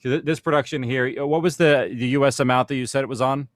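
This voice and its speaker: A man's voice: medium pitch, steady tone, fairly quick. A man with a mid-range voice explains things informally as a panelist. His sound is dry, with very little room echo. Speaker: audio fiction panelist.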